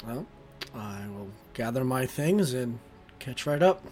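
A man's voice: mid-range voice, expressive, takes it slowly, a little mellow, breathy edge. A man playing a tabletop roleplaying game, speaking casual in-character dialogue. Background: faint background music.

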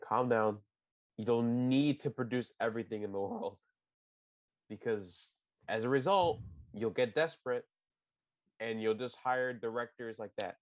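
A man's voice: medium pitch, a little slow, long pauses, dry recording, highs cut off. A man co-hosting a casual podcast, opinionated and rambling, often restarting sentences. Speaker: podcast co-host.

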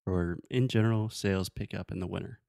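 A man co-hosting a podcast, teaching English phrases in a relaxed, teasing way, with patient examples. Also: deep voice, brisk, gravelly, no echo, studio quality. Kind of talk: casual teaching chat.

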